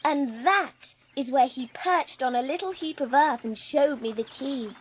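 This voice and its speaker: High-voiced, eager little English girl. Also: measured pace. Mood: excited, eager. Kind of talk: dramatised audiobook acting. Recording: noticeable ambient background noise.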